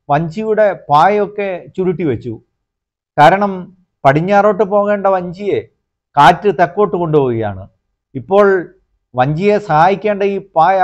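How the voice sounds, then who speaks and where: A middle-aged priest, giving a measured homily that explains scripture in short, paused phrases. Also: male voice, high-pitched, darkish tone. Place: small indoor room, plain backdrop. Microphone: clip-on lapel mic. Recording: slightly dull, lo-fi lapel recording.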